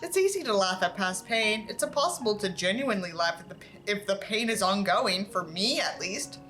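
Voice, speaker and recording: A woman's voice: medium pitch, lively intonation, fairly quick, fairly bright tone, soft background music. A woman reading a book aloud theatrically, with sarcastic, exaggerated delivery and dry asides. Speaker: host reading a book aloud with mocking commentary.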